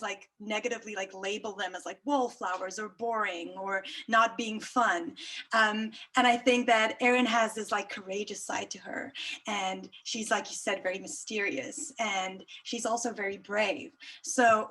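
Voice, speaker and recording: A woman actress from South Africa, a native Afrikaans speaker with a South African accent, chatting brightly in an interview and laughing often. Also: medium pitch. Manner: bubbly and chatty, laughs often, many fillers.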